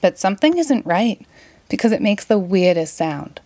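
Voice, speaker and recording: A woman with a Boston accent reading a rhyming children's story aloud in an expressive, playful way. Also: mid-range voice, moderate pace, no echo. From Massachusetts, United States. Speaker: storybook reader.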